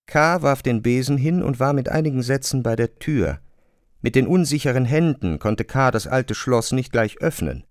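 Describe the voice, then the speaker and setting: A man narrating a literary radio drama in a measured, precise manner. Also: medium pitch, lively intonation, dry recording, clean studio sound.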